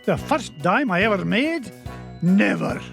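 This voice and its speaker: A man voicing an old, gruff Scottish duck tycoon who is blustery and protective of his nephews. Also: high-pitched, lively intonation, a little slow, a bit bright, soft background music, heavily acted. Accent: put-on Scottish. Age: older. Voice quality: brusque, blustery. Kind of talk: video game cutscene acting.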